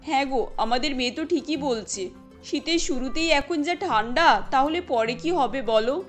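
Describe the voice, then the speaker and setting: A woman's voice: sits high, normal speed, faint music behind, close to an everyday voice. A woman voicing a poor village wife and mother in a cartoon, speaking in an anxious, fretting way. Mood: fretful.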